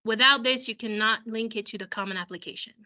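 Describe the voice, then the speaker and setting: A woman school counselor who is losing her voice, explaining a software walkthrough to parents in an explanatory way, often restarting her sentences, over a video call. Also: on the high side, quick pace, dull audio.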